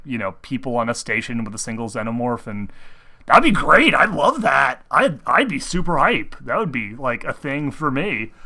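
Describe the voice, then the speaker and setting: A man's voice: medium pitch, bright, forceful tone. A young man chatting casually to viewers about horror films and games, rambling with many restarts and fillers while sick. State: sick, talking through discomfort. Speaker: gaming video host.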